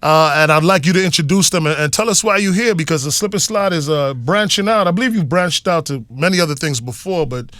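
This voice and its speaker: A man from New York hosts a hip hop radio show, his voice bright and forceful and pitched a little high. He announces with hype energy in the studio, and the sound is close and dry. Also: fairly quick, few pauses, no room sound. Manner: upbeat, hype announcer delivery. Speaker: radio host. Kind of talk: radio interview hosting.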